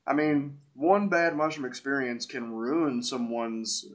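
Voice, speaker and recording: A man's voice: mid-range voice, steady tone, unhurried. A man with a stuffy nose from a head cold, hosting a casual interview and asking curious, chatty questions. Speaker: podcast host, wild mushroom forager.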